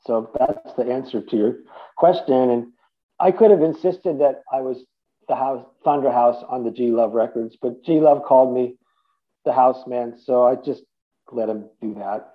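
A man's voice: medium pitch, moderate pace. A man in his fifties from the Boston area, telling stories as an interview guest in a rambling, casual way with many restarts. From Boston area, USA. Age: 50s. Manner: rambling storytelling with many restarts and "you know". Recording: tinny phone-like line.

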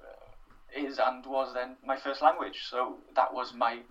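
A young man with a Welsh accent talks things through in an unhurried, self-deprecating way, often pausing on fillers and starting sentences over. He sits a little back from the mic, and the recording sounds somewhat muffled. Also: mid-range voice, flat delivery, a bit bright, recorded from a distance. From Wales. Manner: thoughtful, self-deprecating, many fillers and restarts. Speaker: interview guest, writer. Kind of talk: casual interview.

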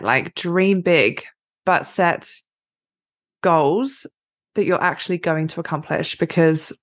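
Woman, slightly husky, fairly low voice, upbeat, solo podcast pep talk. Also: no echo, studio quality. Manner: chatty, upbeat and encouraging. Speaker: podcast host and life coach.

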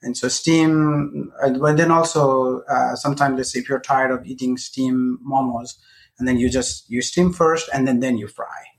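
Friendly man from Nepal, halting speech. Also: medium pitch, steady tone, rough recording. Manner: friendly, halting with many fillers. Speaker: guest. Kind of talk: casual interview chat.

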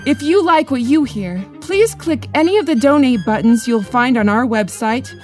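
A woman's voice: a little high, lively intonation, moderate pace, soft background music. A woman announcer reading a scripted introduction for a podcast.

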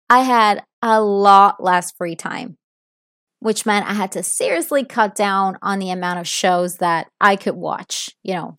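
Italian woman, fluent American-sounding English, podcast host, chatty direct address, medium pitch, dry close mic. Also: fairly bright tone, no room sound. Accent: American-sounding English learned as a second language. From Italy (Milan). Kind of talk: casual solo podcast talk.

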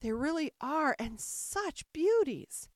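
Woman playing a curious little girl, storybook character, polite, wistful, high, lilting voice. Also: very animated, moderate pace, dry recording, clean studio sound. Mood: eager, pleading.

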